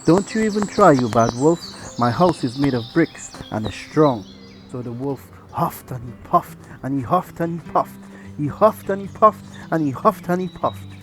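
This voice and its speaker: A father reads a children's bedtime story aloud, gentle and steady. His voice sits in a plain middle range. Faint music plays underneath. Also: mid-range voice.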